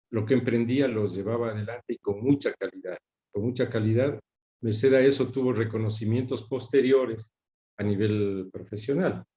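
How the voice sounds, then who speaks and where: Mellow-voiced Ecuadorian man, halting recollection. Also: medium pitch, muffled recording. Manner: halting, pausing to search for words. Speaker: interview guest recalling his father's career. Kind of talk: reflective spoken recollection in an interview.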